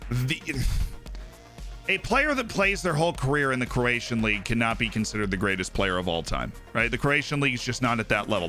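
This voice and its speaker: A man's voice: mid-range voice, pushed and bright. A young man streaming live, chatting casually and opinionatedly about football, with lots of fillers and asides.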